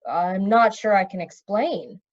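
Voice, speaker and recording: Woman as male monarch, irritable, direct, urgent, animated pitch, storybook character. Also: a little slow, dull audio. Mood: cautious, pressing.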